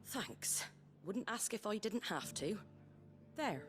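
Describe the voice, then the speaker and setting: A woman's voice: medium pitch, sing-song, slow, a bit bright. An actress playing a blunt, practical, caring innkeeper woman in a fantasy video game, with a regional working-class English accent and a folksy, wry way of talking. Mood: earnest, concerned. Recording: music behind the voice.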